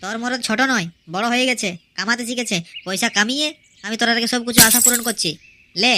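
Voicing a cocky nouveau-riche village youth. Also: female voice, a little high, steady tone, quick pace, background noise. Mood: boastful. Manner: cocky, crude, swaggering.

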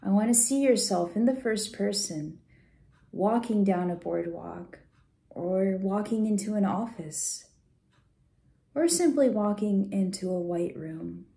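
A woman leading a guided visualization in a soft, gentle, soothing way, unhurried with pauses and at times breathy. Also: mid-range voice. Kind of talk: guided meditation, soft slow instruction.

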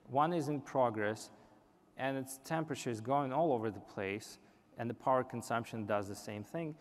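A young man giving a live product demo on a conference stage, explaining earnestly with some restarts. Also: medium pitch. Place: large indoor conference stage. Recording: reverberant conference hall.

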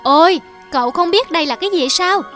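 A snobbish cartoon rabbit, speaks very high. Its manner is mocking and scornful, with music clearly underneath. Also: female voice, lively intonation, brisk, a bit bright. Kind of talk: cartoon fable voice acting.

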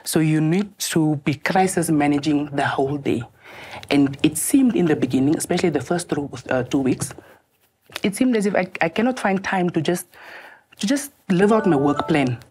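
A woman with a loud voice, answering interview questions in a studio in a lively, joking way with hesitant restarts. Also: low-pitched, normal speed, a little hiss or hum. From Namibia. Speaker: tax officer guest. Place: TV studio, seated.

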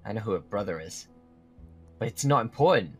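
Low-voiced, protective young werewolf played by a man. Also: animated, fairly quick, slightly rough. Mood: urgent, explaining. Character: protective, earnest teenage male werewolf friend. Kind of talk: acted dialogue in a Minecraft roleplay drama. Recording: music behind the voice.

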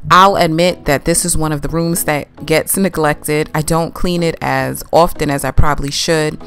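A woman's voice: deep voice, fairly even intonation, normal speed, a bit bright. A young woman casually narrating her home cleaning routine, chatty and explaining each step as she goes. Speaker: cleaning vlogger. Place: home laundry room.

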